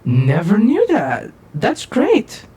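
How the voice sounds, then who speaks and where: A woman's voice: mid-range voice, huge pitch swings, slow pace, warm tone, slightly rough, heavily acted. A woman playing a playful, teasing young princess who jokes with her companions. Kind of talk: casual roleplay dialogue.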